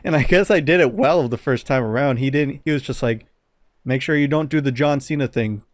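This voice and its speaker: Male podcast host. Mid-pitched, informal, halting storyteller, dry room sound. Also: no room sound. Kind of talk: casual podcast chat.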